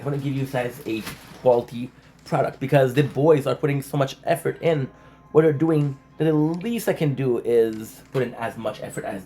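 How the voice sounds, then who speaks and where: Guy vlogging, stammers and restarts, swears a lot, normal pitch. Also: dark tone, a little hiss or hum. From Montreal, Canada. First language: French. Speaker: channel host. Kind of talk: casual rambling vlog chat.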